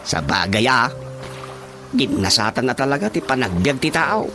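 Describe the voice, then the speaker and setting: An actor with a Filipino Ilocano accent playing a chummy, teasing neighbour in a radio comedy drama, exclamatory and drawling. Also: male voice, mid-range voice, sing-song, moderate pace. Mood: teasing, impressed. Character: chummy, teasing neighbour who flatters the chairman. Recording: music behind the voice.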